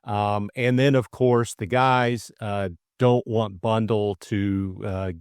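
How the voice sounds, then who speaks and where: A man's voice: lowish voice, fairly even intonation, slow, smooth, no echo, studio quality. A middle-aged man reading a classic novel and discussing it as a co-host. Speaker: audiobook reader and co-host. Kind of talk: storybook narration and casual book discussion.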